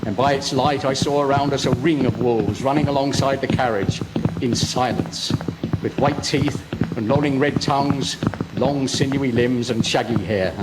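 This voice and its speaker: A man's voice: medium pitch, moderate pace, heavy background noise, close to an everyday voice. An actor playing an earnest young English lawyer's clerk, narrating his journal tensely in a literary radio drama style. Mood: mounting dread. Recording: loud music and effects behind.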